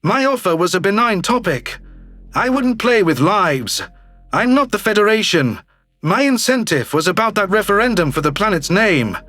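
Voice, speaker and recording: Man acting a smug, high-voiced alien politician. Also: big pitch swings, moderate pace, pushed and bright, no room sound, faint music behind, full character voice. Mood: slick, persuasive. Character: smug, calculating male alien politician, newly elected governor. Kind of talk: scripted sci-fi audio drama dialogue.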